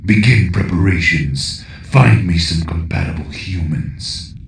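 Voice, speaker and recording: A man playing a menacing, scheming alien emperor in an audio drama, slow and commanding, with a gravelly voice. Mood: gloating, scheming. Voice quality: very deep, gravelly. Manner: slow, imperious. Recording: distant echoing voice, faint noise.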